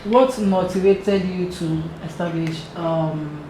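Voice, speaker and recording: Lady host asking casual questions, super echoey with clear background noise. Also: fairly low pitch, slow pace. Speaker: interviewer, podcast host. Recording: very echoey, noisy room.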